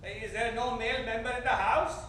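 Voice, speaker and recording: A man's voice: extremely high pitch, fairly even intonation, a little fast, a bit bright. A man playing an impersonal police officer in a staged reading, cold, clipped and interrogating. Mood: cold, official. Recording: echoey theater, distant mic, faint noise.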